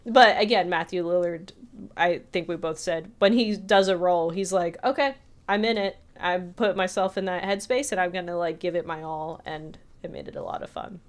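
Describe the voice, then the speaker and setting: A woman's voice: lowish voice, normal speed. A woman co-hosting a podcast, talking through a film review in a casual, chatty, hedging way. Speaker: podcast co-host.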